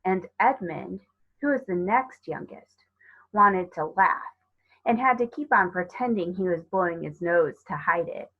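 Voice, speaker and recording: A woman narrating a children's story aloud in a measured, expressive read-aloud way. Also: fairly low pitch, phone-quality sound. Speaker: storybook reader.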